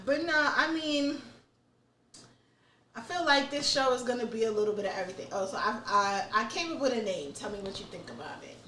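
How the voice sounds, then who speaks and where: A woman's voice: fairly high pitch, animated, brisk, sharp tone, recorded from a distance. Young woman podcast host, candid and unfiltered, talking a bit back from the mic in an echoey room. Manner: candid, swears freely, thinks aloud. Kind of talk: casual chat.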